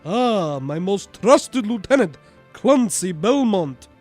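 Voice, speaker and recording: Man playing a slow, pompous warlord count. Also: high-pitched, very animated, a little mellow, soft background music, exaggerated voice. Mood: haughty. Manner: pompous, sneering, theatrical. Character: arrogant, menacing male warlord count, mad with power.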